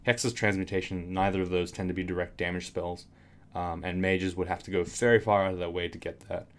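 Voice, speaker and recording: A man with a slightly raspy voice giving casual commentary on a game tutorial, rambling with fillers and restarts, keyboard clicks behind him. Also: sits low, flat delivery, a little fast.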